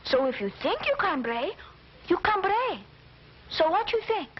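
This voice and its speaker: A man voicing a small young male eagle, gentle, earnest and encouraging. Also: extremely high pitch, sing-song, a little slow, a little hiss or hum, pitched above the performer's own voice, heavily acted. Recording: dull old record with music.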